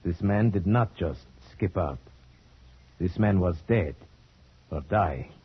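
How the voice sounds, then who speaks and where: A man acting as a calm, thoughtful investigator in an old radio play, speaking in a measured, deliberate way. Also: low voice, a little hiss or hum. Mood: grave, analytical. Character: calm, thoughtful investigator narrating a gothic werewolf tale. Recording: muffled old radio recording with music.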